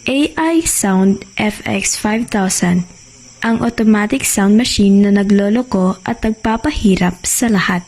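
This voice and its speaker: A woman's voice: mid-range voice, unhurried, a little mellow, faint music behind. A woman with a Filipino accent reading scripted radio drama narration.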